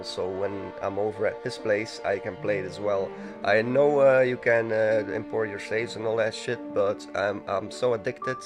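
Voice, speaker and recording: Rambling low-voiced man gaming. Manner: chatty, rambling, self-correcting. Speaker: let's play gamer. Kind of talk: casual gaming commentary. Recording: music playing under the voice.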